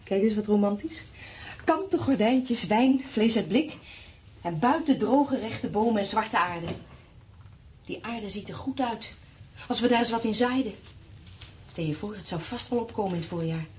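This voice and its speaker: A woman's voice: mid-range voice, lively intonation, normal speed, pauses often, a little mellow, slight background noise, natural voice. An actress playing a bitter, frightened woman survivor in a radio play, brooding and sarcastic, swinging between dreamy and cutting. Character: bitter, frightened, mocking woman surviving a catastrophe with her partner. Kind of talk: naturalistic radio play acting. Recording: radio play with background ambience.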